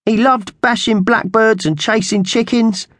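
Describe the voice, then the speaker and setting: A man's voice: very high voice, normal speed, vocal fry, dry recording, studio quality, pitched above the performer's own voice. A man voicing a scrawny magpie henchman in a children's storybook, a squawky and mock-solemn gang underling. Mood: tearful tribute. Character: thin scrawny male magpie henchman, nasty yet sentimental.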